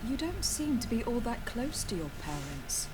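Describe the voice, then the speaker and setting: A woman's voice: fairly high pitch, sing-song, normal speed. An actress playing a composed, principled noblewoman legate in a video game, speaking with formal, firm courtesy. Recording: clear background noise from game ambience.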